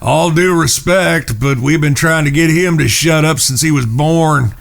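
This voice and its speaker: An actor playing a big, gruff, long-suffering outlaw with a gravelly, laconic delivery in a Western audio drama. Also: male voice, fairly high pitch, a little slow, a bit bright. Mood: deadpan.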